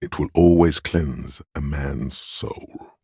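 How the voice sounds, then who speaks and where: A super deep solemn voice of authority for a game, pompous n ceremonious, dry close sound. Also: male voice, fairly even intonation, slow, dark tone, breathy edge, no echo, exaggerated voice. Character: solemn, grandiose inner voice of authority exalting honour. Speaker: voice actor. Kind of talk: scripted game voice lines, theatrical narration.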